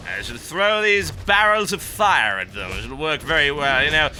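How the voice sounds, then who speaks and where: A man's voice: fairly high pitch, expressive, moderate pace, sharp tone. A man putting on a pompous British officer. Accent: put-on posh British. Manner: pompous, haughty, clipped colonial-officer talk. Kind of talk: in-character co-op game commentary.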